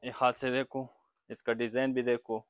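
A young man selling blankets in his small shop, eagerly pitching prices and agreeing with quick repeated yeses. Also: level tone. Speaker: blanket shopkeeper. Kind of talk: sales pitch to a vlogger.

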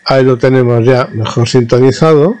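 A man's voice: medium pitch, darkish tone. A man with a mid-range voice talks through a practical technical demonstration. His delivery is informal and explanatory, like an instructor at work. He often halts and rephrases himself as he goes. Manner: halting, self-correcting, explanatory. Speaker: radio communications instructor.

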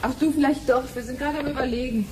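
An actress playing a curious, casual visitor in a radio drama, chatting and asking questions. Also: female voice, sits high, steady tone, fast, natural voice. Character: curious female visitor, casual friend of the researcher. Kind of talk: 1970s German radio drama acting. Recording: clear background noise.

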